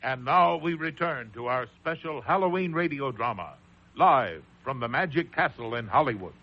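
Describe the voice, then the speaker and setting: A man giving formal, deliberate scripted announcements for a broadcast radio drama. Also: medium pitch, animated. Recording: dull radio recording, faint noise.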